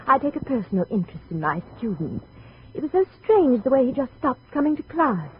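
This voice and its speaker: Posh-ish cultured art teacher, high voice, gushing, dull old radio recording. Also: female voice, big pitch swings, a little fast, slight background noise. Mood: gracious, interested. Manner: refined, effusive. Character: poised, cultured, keen female art school head. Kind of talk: 1950s radio drama acting.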